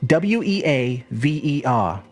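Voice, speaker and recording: A woman acts out a teenage boy in a storybook, breathy and swinging widely in pitch. He is courteous, earnest and puzzled, thinking aloud in hesitant steps. Faint music plays behind the voice. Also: very animated, slow, darkish tone, full character voice. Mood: musing, earnest. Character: polite, puzzled, earnest seventeen-year-old English schoolboy lodger.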